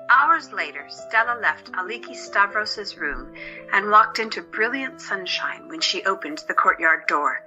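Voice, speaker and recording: Slightly muffled audio with faint music carries a woman narrator. She reads a scripted story in a very bright, forward voice pitched a little low.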